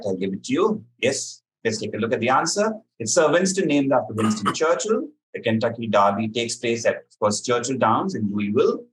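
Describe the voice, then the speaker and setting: A man hosting a quiz, reading questions to contestants and explaining answers in a measured, articulate way. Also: medium pitch, poor audio. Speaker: quiz master.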